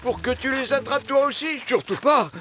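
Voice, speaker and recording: A man's voice: very high-pitched, moderate pace. A man voicing a kindly, good-natured bear guardian who grumbles mildly, in a cartoon dub. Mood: weary, kindly. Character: kindly, long-suffering big bear guardian.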